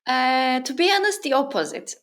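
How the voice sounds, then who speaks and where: A woman's voice: high-pitched, big pitch swings, moderate pace, fairly bright tone. A woman, a native Turkish speaker from Turkey, in her thirties, answering interview questions in a thoughtful, casual way, with fillers and restarts. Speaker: interview guest, freelancer. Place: her home on an island.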